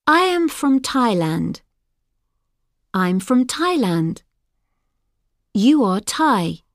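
A woman's voice: a little high, dry recording, studio quality. A woman reading example sentences for language learners, each one carefully enunciated. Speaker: course audio speaker. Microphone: dry studio mic.

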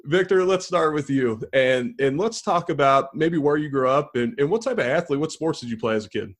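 A man hosts an interview podcast. He opens with a measured, scripted guest introduction, then eases into easy, friendly banter. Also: a little high. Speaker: podcast host.

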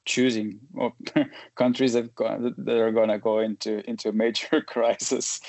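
A man in his forties, born and raised in Belgium, telling his story as a guest in a casual interview, halting with many restarts and fillers. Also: medium pitch, little pitch movement, creaky, a loud crowd. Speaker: guest, photojournalist.

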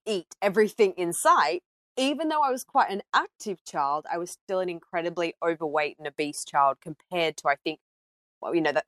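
A woman's voice: deep voice, no room sound. A woman from Australia speaking as a podcast guest, telling personal stories in a conversational way.

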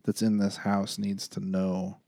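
A man's voice: sits low, completely flat delivery, unhurried, gravelly, warm tone, no echo, studio quality. A young adult man co-hosting a podcast in casual chat, laid-back and rambling, laughing easily. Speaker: podcast co-host.

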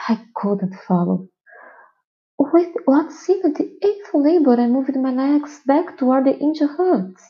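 A woman's voice: high voice, expressive, a little slow. A woman reading a travel narrative aloud on a lo-fi voice message. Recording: dull lo-fi voice message.

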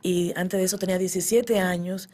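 A middle-aged woman speaks with a Dominican accent in a fairly low voice. She is a guest being interviewed, recounting her experiences in a casual, conversational way. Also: steady tone, a little fast, dark tone. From born in the Dominican Republic, emigrated to the US at ten. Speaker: guest, poet and actress.

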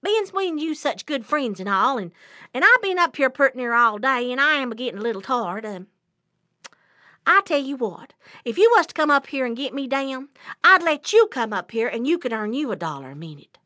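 A woman voicing a wily, fast-talking male trickster rabbit in a folk tale, excitable and folksy. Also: sits high, dry recording, studio quality, full character voice. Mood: sly, persuasive. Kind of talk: animated folk-tale storytelling.

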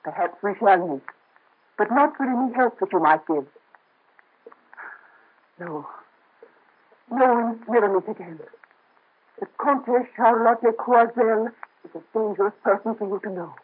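An actress playing a young noblewoman in hiding, soft, earnest and dignified, in an old radio drama. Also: a little high, moderate pace, long pauses, husky. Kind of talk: 1930s radio drama acting. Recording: muffled lo-fi old radio transfer.

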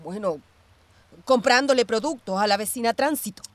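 An actress playing a troubled, evasive former police commander, defensive and theatrical, in a radio drama. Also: female voice, fairly high pitch, moderate pace, dry recording, close to an everyday voice. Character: troubled, evasive ex-police commander woman mourning her dogs. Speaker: actor in a radio play.

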